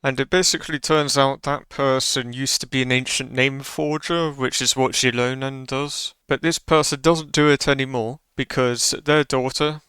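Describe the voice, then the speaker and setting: Very clear, bright-voiced man commentating casually. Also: medium pitch, fairly even intonation, normal speed, hardly any pauses, dry recording. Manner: informal, offhand. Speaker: gaming YouTuber.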